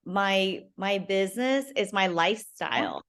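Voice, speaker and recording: A woman's voice: mid-range voice, sing-song, a little slow, gravelly, fairly bright tone. A woman hosting a podcast interview, encouraging her guest and chatting about family life. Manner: encouraging, frequent backchannel murmurs. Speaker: podcast host. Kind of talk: casual interview chat.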